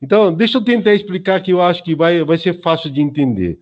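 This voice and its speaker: A man in his early seventies with a Brazilian accent speaks in a somewhat high voice. He explains informally, like an instructor being interviewed, often checking that he is followed. The recording is dull and lo-fi. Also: fast. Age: early 70s. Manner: didactic, often adds checking tags. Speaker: senior Aikido instructor, interview guest.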